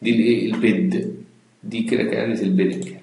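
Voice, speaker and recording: A man's voice: on the low side, little pitch movement, quick pace, a little mellow. A man with an Egyptian Arabic accent teaching a database lesson over a screen recording, explaining step by step, mixing in English terms and asking rhetorical questions. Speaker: computer science instructor. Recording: very echoey room.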